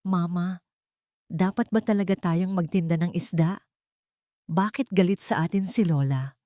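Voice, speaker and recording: Woman reading as worried little boy, plaintive questions, fairly low for it, dry recording. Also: a little slow, dark tone, dry recording, lightly acted. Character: small anxious child questioning his mother, boy.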